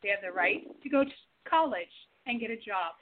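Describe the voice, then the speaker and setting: A woman's voice: mid-range voice, expressive, brisk, slight background noise. A woman reading the narration and stage directions of an amateur radio play. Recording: tinny phone-like recording.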